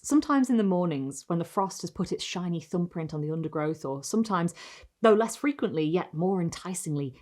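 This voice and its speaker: A woman reading a gothic short story aloud, measured and expressive, lingering on phrases. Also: lowish voice. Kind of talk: literary audiobook-style narration of gothic fiction.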